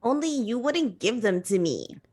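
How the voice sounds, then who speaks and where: A woman's voice: a little high, lively intonation, moderate pace, darkish tone. A woman voicing an angry, defensive male teacher in a comedic dramatic reading of fan fiction.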